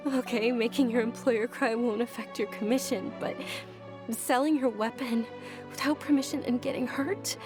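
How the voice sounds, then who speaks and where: An actress playing a gentle young noblewoman in an anime game, earnest and caring, with a polite, proper manner. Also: fairly high pitch, fairly even intonation, moderate pace, lightly acted. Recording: music under game dialogue.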